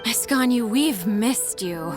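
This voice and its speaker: A young secretary gushes reverently in a slow, fairly high voice. It is dramatic video game acting over faint music. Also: female voice, lively intonation. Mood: adoring. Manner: reverent, drawn-out, gushing. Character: young female secretary, adoring, dramatic, relieved.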